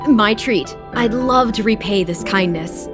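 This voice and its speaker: A gracious, hearty game chef, acted by a woman speaks in a medium-pitched voice with effusive, storytelling delivery. She is adventurous and proud of her craft, with music clearly playing behind her. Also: measured pace, close to an everyday voice. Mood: grateful, proud. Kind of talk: video game voice acting.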